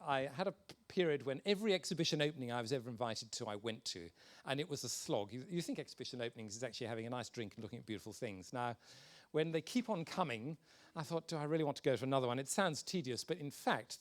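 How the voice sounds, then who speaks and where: A man in his sixties giving an informal conference talk with career advice, speaking in an emphatic, encouraging way as a senior professional. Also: mid-range voice.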